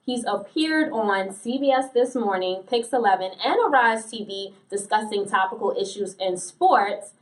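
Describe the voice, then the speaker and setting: A woman's voice: mid-range voice, lively intonation, normal speed, hardly any pauses, distant mic, poor audio. American woman interviewer, slightly off the mic. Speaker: interviewer, host. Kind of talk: casual, conversational interview hosting.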